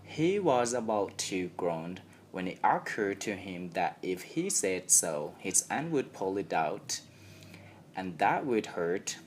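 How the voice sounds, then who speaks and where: A young man with a Thai accent narrates an audiobook in a fairly low voice. His reading is very slow and careful, with faint noise behind it. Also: very animated. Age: young adult. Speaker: student audiobook reader. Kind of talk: scripted story narration.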